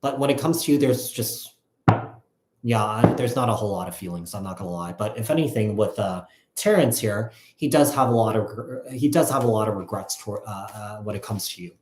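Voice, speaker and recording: A man giving a casual live tarot reading, thinking aloud in a halting, repetitive way. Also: on the low side, background noise. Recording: echoey, roomy sound.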